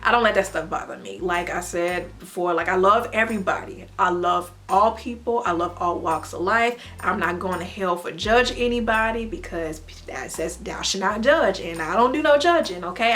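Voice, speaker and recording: A young woman from the United States talking casually to her viewers as a mother, earnest and faith-filled, with playful animated bursts. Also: mid-range voice. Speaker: vlogger and mom. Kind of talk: casual talk to camera.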